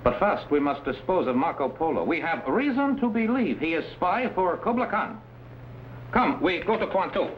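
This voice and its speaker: A man's voice: sits high, sing-song, normal speed. A man playing an ambitious, treacherous Chinese warlord, speaking grandiose, stilted English in an old adventure serial. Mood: imperious. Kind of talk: 1950s TV adventure serial acting. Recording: dull old broadcast recording with hiss.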